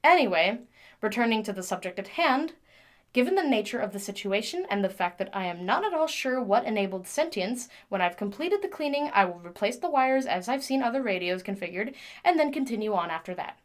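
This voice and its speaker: A woman's voice: on the high side, big pitch swings, brisk. A woman playing an earnest, methodical mechanic in an audio drama, speaking formally and wordily as if logging repair notes. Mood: businesslike. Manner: precise, formal, wordy. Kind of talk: audio drama, spoken log entry.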